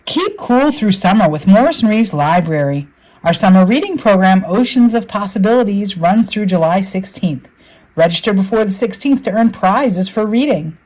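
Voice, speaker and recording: A woman's voice: medium pitch, animated, moderate pace, a little mellow, rough recording. A woman announcer reading scripted promotional narration about community programs. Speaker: library announcer.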